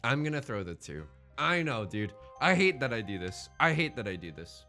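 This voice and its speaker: A man's voice: mid-range voice, very animated, normal speed, pushed and bright, faint music behind. A man hosting a gaming let's play with casual, joking commentary, thinking aloud in a rambling, playfully dramatic and self-deprecating way. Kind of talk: casual running game commentary with joking banter.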